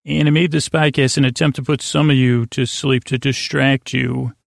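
Creaky, meandering man hosting a sleep podcast. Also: medium pitch, fairly even intonation, no room sound, studio quality. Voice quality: creaky, gravelly. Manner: meandering, halting, stumbling over words, trailing into tangents. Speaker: podcast host. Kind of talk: rambling bedtime monologue to lull listeners to sleep.

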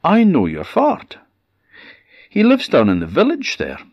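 A man's voice: sits high, wildly swooping pitch, measured pace, dry recording, voice pushed up, exaggerated voice. A man voicing a cheery, proud little male fart creature, in a slightly dull recording. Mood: matter-of-fact. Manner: boastful, jolly.

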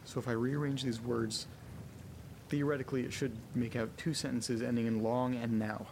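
A man playing a frightened character trapped in a haunted house, muttering to himself haltingly and swearing in a horror audio drama. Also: mid-range voice, level tone, noisy background, close to an everyday voice. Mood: tense puzzling.

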